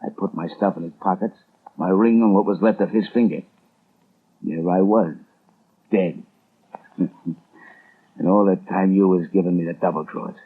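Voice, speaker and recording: A crude American hitman in a 1930s radio crime play. His voice is low and rough, with sneering, slangy tough-guy menace. The broadcast is narrow and tinny. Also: fairly even intonation, moderate pace, long pauses. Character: Spike Collins, crude, vengeful male gangster hitman.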